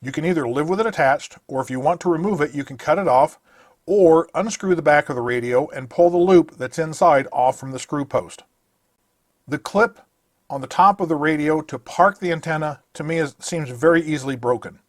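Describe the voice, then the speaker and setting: A man's voice: fairly high pitch, normal speed, no room sound, clean studio sound. A man narrating a scripted product review, listing features in a methodical, matter-of-fact way.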